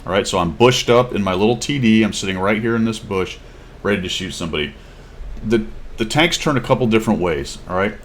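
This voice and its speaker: A man hosting a casual gameplay tutorial, explaining in a relaxed, chatty way with asides and fillers. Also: on the low side, brisk, a little hiss or hum. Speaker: gaming channel host giving a tip.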